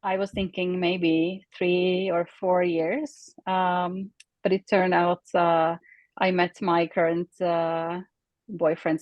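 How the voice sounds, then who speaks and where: A Swedish woman in her thirties, a native Swedish speaker, speaking English casually as a guest on a video call and often pausing to search for words. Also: lowish voice, darkish tone, no room sound, dull audio. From Sweden. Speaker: interview guest.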